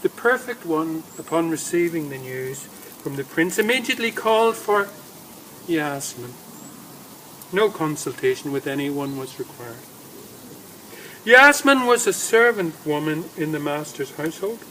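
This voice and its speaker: A man reading a story aloud outdoors in a dramatic, deliberate manner, with emphatic phrasing and pauses. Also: on the high side, animated, pushed and bright, poor audio. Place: outdoors in woodland. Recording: faint outdoor background noise. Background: faint woodland ambience.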